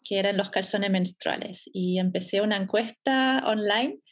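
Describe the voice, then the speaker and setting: A German woman in her thirties, guest on a podcast interview, talking about her business in a relaxed way and laughing easily. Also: mid-range voice, normal speed. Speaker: entrepreneur guest.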